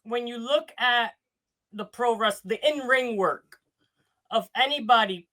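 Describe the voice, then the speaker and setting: Nasal man, bright voice. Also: very high-pitched, a little slow. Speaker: panel guest. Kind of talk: casual panel chat.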